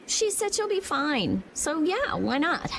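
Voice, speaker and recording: High, excitable young boy. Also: measured pace, gravelly, a little hiss or hum, full character voice. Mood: uncertain. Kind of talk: English video game voice acting.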